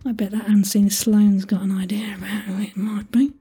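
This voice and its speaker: A woman's voice: mid-range voice, level tone, normal speed, mellow, husky, soft background music. A woman hosting an audio quiz in a chatty, playful style, with a theatrical, jokey delivery full of asides and exclamations. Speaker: quiz host.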